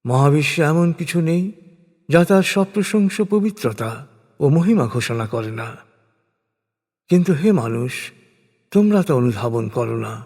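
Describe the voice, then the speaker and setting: A man reads translated scripture as a scripted narrator, in a solemn style with measured phrases and deliberate pauses. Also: fairly high pitch, expressive, a little mellow. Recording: long reverberant hall echo.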